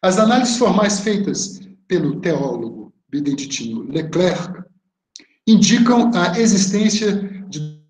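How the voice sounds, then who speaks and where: A man's voice: high voice, sing-song, measured pace, darkish tone. Brazilian man lecturing over a roomy video call. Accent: Brazilian Portuguese. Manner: reads a prepared text, then explains slides with hesitant fillers. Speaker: art history lecturer. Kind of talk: academic lecture over shared slides. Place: home room on a video call. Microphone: webcam or laptop mic on a video call.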